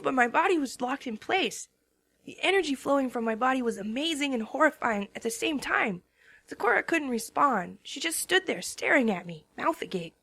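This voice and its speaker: A woman's voice: sits high, creaky, fairly bright tone, exaggerated voice. A woman voicing a tired young female pegasus who narrates her own story in the first person with a drowsy, worn-out delivery. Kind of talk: first-person narration in a fan dramatic reading.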